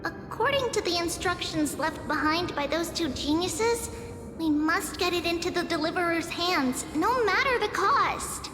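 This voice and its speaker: A woman voicing an earnest young heroine in a game, planning deliberately to save her doomed world. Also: very high-pitched, animated. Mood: grave, resolute. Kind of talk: game voice acting. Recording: echoey long reverb, clear music behind.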